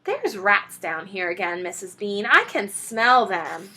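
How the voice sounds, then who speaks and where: A woman's voice: medium pitch, big pitch swings, unhurried, bright, forceful tone, heavily acted, poor audio. A woman reading a story and voicing a huge, coarse servant woman who is grumbling but dutiful. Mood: resigned.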